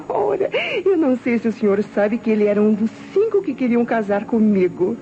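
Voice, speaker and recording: A woman's voice: on the high side, big pitch swings, moderate pace, over music. An actress playing a flippant, worldly showgirl in an old radio crime drama, with a breezy, theatrical way of talking. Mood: defensive, flippant. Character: flippant, worldly showgirl being questioned by police. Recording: muffled, noisy vintage Brazilian radio recording.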